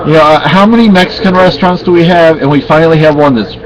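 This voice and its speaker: A man's voice: fairly high pitch, fast, lo-fi sound, a crowd in the background. A middle-aged man from Spain chatting casually and rambling on a home vlog, often correcting himself. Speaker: vlogger. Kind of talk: casual chat.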